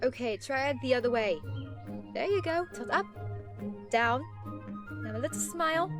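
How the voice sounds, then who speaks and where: A woman plays a sardonic cartoon alley cat with a high voice that swoops theatrically. She wheedles and needles with plenty of sarcasm, over clearly audible music. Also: expressive, moderate pace. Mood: wheedling, bossy. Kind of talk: amateur performance of an animated film scene.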